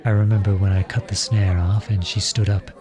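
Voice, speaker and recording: A man playing an earnest, lovestruck outlawed prince turned soldier in an audio roleplay drama, speaking softly and with measured pauses. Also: lowish voice, flat delivery, a crowd in the background, close to an everyday voice. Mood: tender, wistful.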